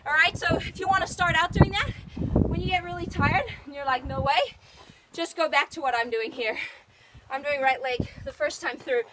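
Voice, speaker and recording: High, loud-voiced woman coaching a workout. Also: normal speed, noisy background. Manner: upbeat, counting down and cheering on. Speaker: fitness trainer. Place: outdoors in the sun. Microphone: phone.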